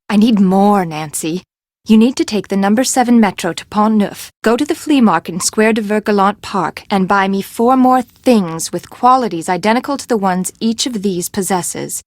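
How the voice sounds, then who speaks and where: An actress playing an imperious, eccentric fashion designer in a video game, with a haughty, dramatic, emphatic delivery. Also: female voice, mid-range voice, darkish tone, no room sound, studio quality, close to an everyday voice.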